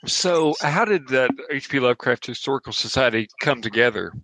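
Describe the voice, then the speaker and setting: A man's voice: medium pitch, expressive, fairly quick, noisy background. A man hosting a podcast interview in a casual, conversational style. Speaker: podcast host.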